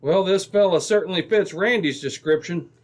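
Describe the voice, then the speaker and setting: A man playing a steady, brave Mountie sergeant in an old-time radio adventure, brisk, assured and commanding. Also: high-pitched, lightly acted.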